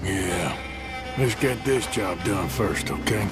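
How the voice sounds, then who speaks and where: Level-headed American gunslinger, lowish voice, commanding, plain-spoken western game drama over loud music. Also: male voice, animated, slow. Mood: wary, terse. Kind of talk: video game dramatic acting. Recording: loud music behind, vehicle sounds.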